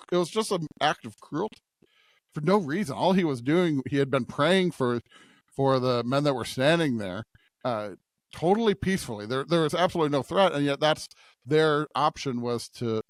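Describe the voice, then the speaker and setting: A middle-aged man co-hosting a podcast, talking through scripture in a halting, reflective, conversational way and often checking for agreement. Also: on the high side, lively intonation, dry recording. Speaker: podcast co-host.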